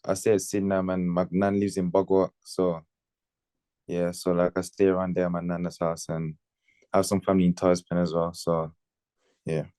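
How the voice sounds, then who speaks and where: A man's voice: low-pitched, little pitch movement, moderate pace, dark tone, clean tone, dry recording. A man, chatting casually in a laid-back, slangy way in an interview. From Birmingham, England. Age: late teens. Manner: laid-back, slangy, with tags like innit and you know what I mean. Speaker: footballer being interviewed.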